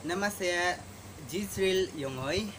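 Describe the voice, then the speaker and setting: A man's voice: high voice, slow pace, over music. A young man from Sabah, a student introducing himself to camera. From Kota Belud, Sabah, Malaysia. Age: young adult. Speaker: college student. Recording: noisy recording with ambient sound.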